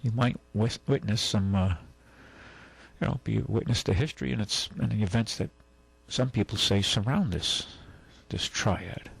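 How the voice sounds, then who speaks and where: A man with a low, husky voice hosts a radio show from a studio mic. He talks in a loose, rambling way, full of asides and restarts. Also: normal speed, rough recording. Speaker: radio host. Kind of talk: casual talk radio monologue.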